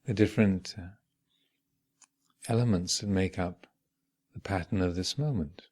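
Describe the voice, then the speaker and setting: A man leading a guided meditation as a teacher, speaking in measured, contemplative short phrases with long reflective pauses. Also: low-pitched, a little mellow. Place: meditation retreat hall.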